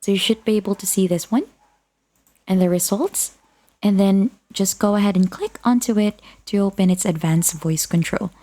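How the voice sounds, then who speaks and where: Woman, tutorial presenter, soft dark timbre, medium pitch, instructional screen-recording narration. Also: moderate pace.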